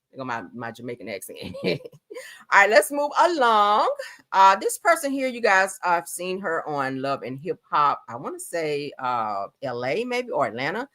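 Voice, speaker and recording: A chatty woman hosts a livestream, talking loosely over news clips. She sits a little low in pitch for a woman and slips in "child" and "honey" as she goes. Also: pushed and bright. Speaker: livestream host. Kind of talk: casual commentary on news clips.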